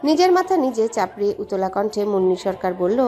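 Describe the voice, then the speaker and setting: A woman's voice: mid-range voice, very animated, quick pace, warm tone, soft background music. A woman reading a scripted dramatic story as its narrator.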